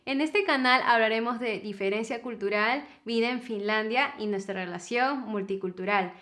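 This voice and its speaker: A young Peruvian woman in her twenties co-hosting a couple's vlog, telling their story in a chatty, animated way. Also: a little high, normal speed, echoey room. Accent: Peruvian Spanish. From Peru. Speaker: co-host of a couple's channel.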